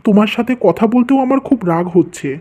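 A man's voice: high voice, expressive, fast, dark tone. A man voicing a dutiful, earnest young husband in a cartoon who is devoted to his family. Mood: indignant.

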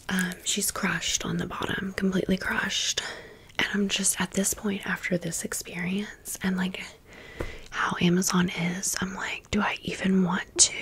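Slow whispering woman, ASMR storytime. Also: medium pitch, fairly bright tone. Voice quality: breathy, unvoiced whisper. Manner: chatty, informal, slangy, addresses viewers as friends. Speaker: ASMR video creator and host.

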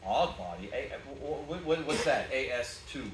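A man's voice: mid-range voice, lively intonation, normal speed, lightly acted. A man playing a bewildered, sardonic, despairing family man in a stage radio play, with folksy stammering hesitations. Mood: puzzled. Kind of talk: stage radio play acting, 1940s manner. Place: small theatre stage before an audience. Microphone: far audience camera. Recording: echoey theatre, distant mic, background noise.

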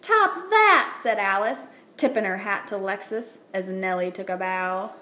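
A woman, a teacher, reading a children's picture book aloud with expressive, deliberate phrasing. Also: mid-range voice. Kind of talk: read-aloud storytelling for children. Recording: roomy echo, light background noise.

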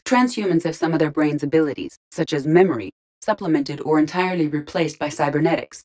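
A female starship AI living in an android body, voiced for a sci-fi video game. Her voice is low for a woman. She speaks in measured, formal phrases, logical and composed, keeping emotion tightly restrained. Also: a little mellow. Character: calm, logical female ship artificial intelligence in android body. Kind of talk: scripted video game voice acting.

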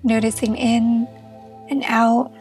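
A woman leading a guided meditation as a therapist, speaking in a gentle, reassuring and encouraging manner. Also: fairly high pitch, flat delivery, slow, faint music behind.

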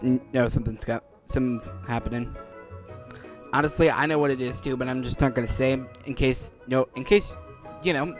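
Male gaming host: mid-range voice, casual, rambling, light music underneath. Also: medium pitch. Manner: rambling, repeats himself. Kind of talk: casual gameplay commentary. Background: game music.

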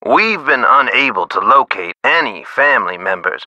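A man playing a prim, earnest, caring nurse in a mystery video game, speaking formally and emphatically with drawn-out words. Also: very animated, bright, forceful tone, no room sound. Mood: earnest, clinical. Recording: muffled low-bandwidth game audio.

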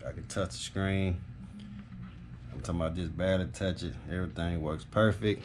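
A man's voice: low voice, steady tone, moderate pace. A man talks informally into a handheld camera in a small room. He rambles through a product update in a matter-of-fact way. There is a light layer of background noise on the recording. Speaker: HVAC technician and video host. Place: small carpeted home office, indoors.

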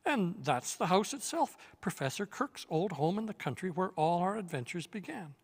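A man's voice: sits high, big pitch swings, moderate pace, breathy edge, in a large hall, pitched above the performer's own voice, heavily acted. An older man voicing an amazed boyish young king in a read-aloud storybook, exclaiming in a lifted voice.

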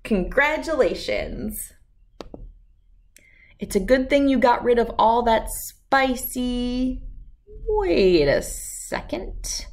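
A woman reads a children's story aloud in a fairly high voice. Her delivery is very slow and highly animated, with emphatic words and dramatic pauses for young listeners. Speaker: read-aloud host.

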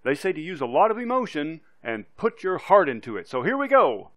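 Man reading a demo script, very lively pitch. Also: fairly quick, dry recording.

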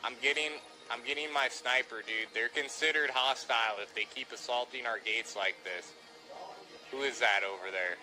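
A young man playing a roleplay shooter game, giving quick orders and calling everyone dude. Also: mid-range voice, sharp tone, poor audio. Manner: bossy, gives quick orders, says dude a lot. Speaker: gamer streaming roleplay. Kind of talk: casual game chat. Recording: music playing behind.

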